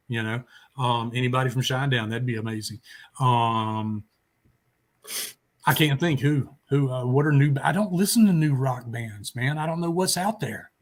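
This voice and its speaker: A talkative man co-hosting a music talk show, chatting in a casual, rambling way with blunt swearing. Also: mid-range voice. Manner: foul-mouthed, blunt, talkative.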